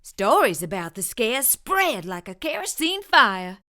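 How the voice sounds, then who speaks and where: A middle-aged woman reading a children's picture book aloud with expressive storytelling. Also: fairly high pitch, measured pace. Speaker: children's book reader, channel host.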